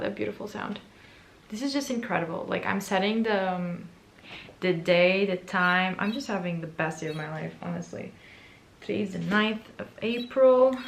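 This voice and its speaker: A woman in her twenties chatting casually to camera in a book vlog. Her voice is raspy and hoarse from allergies, and she is chatty, excitable and self-mocking. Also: medium pitch. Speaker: book vlogger.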